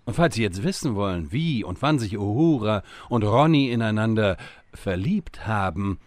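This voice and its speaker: An actor playing a stern, self-important time-travelling wizard with a measured, dry delivery in a comedic fantasy audio drama. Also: male voice, fairly low pitch, animated, darkish tone, no echo, natural voice. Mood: pompous, dry.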